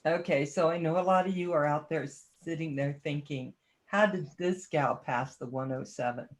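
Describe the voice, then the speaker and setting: A woman's voice: sits low, normal speed. Lady chatting on a stream, pokes fun at herself, rambly and friendly. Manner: chatty, self-deprecating and teasing. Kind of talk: casual livestream chat.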